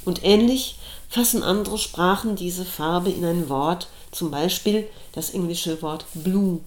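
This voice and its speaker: A middle-aged woman reads her own reflective essay aloud, taking her time over every phrase. Her voice sits slightly low and her delivery stays measured and thoughtful. The recording carries a noticeable roomy echo. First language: German. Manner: slow, measured, thoughtful reading.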